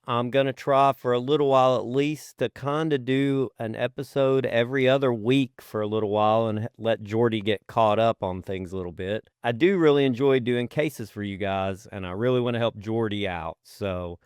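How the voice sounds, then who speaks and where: A man's voice: mid-range voice, moderate pace, clean tone, no room sound, studio quality. A man co-hosting a podcast, talking casually in an informal, earnest way. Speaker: podcast co-host. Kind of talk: casual chat.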